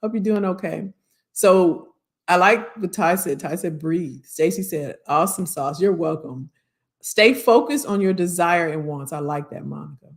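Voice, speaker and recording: An American woman hosting a casual live career Q&A, chatting familiarly with viewers in folksy slang and repeating her phrases, her voice slightly hoarse. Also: lowish voice, moderate pace.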